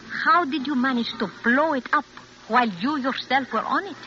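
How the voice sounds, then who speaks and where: High-voiced, coy femme fatale. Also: female voice, bright, forceful tone. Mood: teasing. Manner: teasing, deliberate, formally phrased. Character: coy, probing foreign femme fatale in wartime Madrid. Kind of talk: 1940s radio drama acting. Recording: dull vintage radio recording with music.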